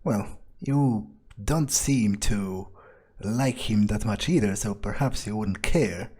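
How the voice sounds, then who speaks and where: A man with a husky voice doing in-character commentary on a game, musing aloud to himself. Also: lowish voice, slow pace, darkish tone. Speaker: gaming video maker.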